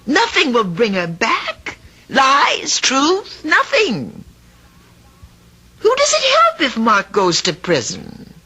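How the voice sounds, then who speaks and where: An actress playing an anguished, pleading grown daughter in a radio drama, with a breathy voice. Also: female voice, sits high, huge pitch swings, slow, pushed and bright, full character voice. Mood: tense, pleading. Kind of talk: old-time radio drama acting.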